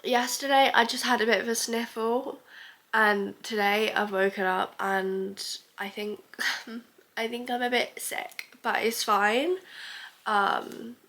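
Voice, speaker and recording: A woman's voice: on the high side, fairly even intonation, slow, a bit bright. A young woman chatting casually in a reading vlog, stuffy with a cold and rambling as she thinks aloud. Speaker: book vlogger.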